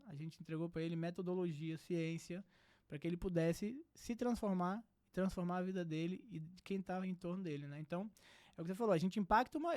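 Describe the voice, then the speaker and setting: A young man from Brazil talks as a podcast guest, earnest and reflective. His voice sits high for a man and the words come quickly. The studio sound is dry and close. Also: warm tone, no room sound. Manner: earnest, reflective, many filler "né". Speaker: psychiatrist guest. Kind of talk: casual podcast conversation.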